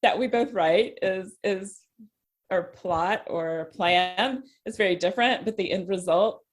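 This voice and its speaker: A woman author chatting as a podcast guest in a casual, halting way, thinking aloud as she goes. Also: medium pitch. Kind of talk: casual interview chat.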